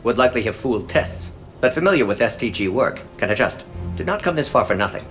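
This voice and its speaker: An actor playing a brisk, clinical alien scientist in a video game, speaking in clipped fragments that drop the small words. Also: male voice, medium pitch, lively intonation, a bit bright, soft background music, lightly acted. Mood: brisk, analytical. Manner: clipped telegraphic phrasing, drops small words.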